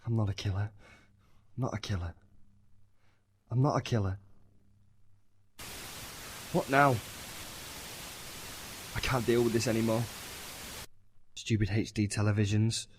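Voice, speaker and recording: A man's voice: fairly low pitch, steady tone, a little slow, long pauses, slight background noise, natural voice. An actor playing a guilt-ridden, sleepless young man in an audio horror drama. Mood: weary, rattled.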